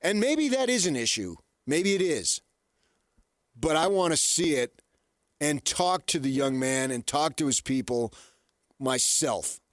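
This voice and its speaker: A man's voice: a little high, animated, measured pace, no echo, clean studio sound. An American man co-hosting sports radio, challenging his partner with rhetorical questions in unscripted debate.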